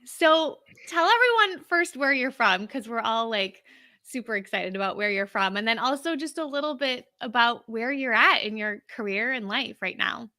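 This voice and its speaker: Female live-stream host, bright and forceful, slightly high, enthusiastic and gushing with her guests. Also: moderate pace. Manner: excitable, gushing. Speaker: host interviewing class members.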